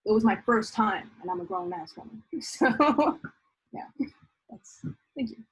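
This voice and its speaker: A woman comic artist from the United States answering questions casually in a husky, soft-spoken, modest way. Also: medium pitch, animated, a little slow. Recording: dull lo-fi sound.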